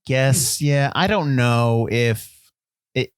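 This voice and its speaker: A man's voice: medium pitch, drawn-out words, clean tone, no room sound, clean studio sound. A man in his forties co-hosting a film podcast in casual chat, joking and acting out scenes in silly voices. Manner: jokey, animated, acts out scenes in silly voices. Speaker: podcast co-host.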